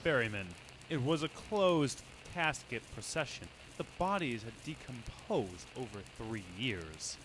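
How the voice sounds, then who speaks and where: An actor playing an inquisitive, skeptical investigator in old-time radio drama style. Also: male voice, mid-range voice, lively intonation, measured pace, lightly acted. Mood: pondering. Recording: echoey room, faint noise.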